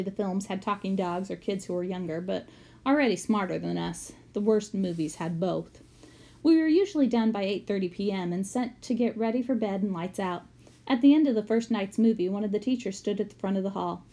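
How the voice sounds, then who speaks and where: A woman's voice: medium pitch, warm tone. A woman reading a first-person story aloud as scripted narration, in the manner of a book read to listeners.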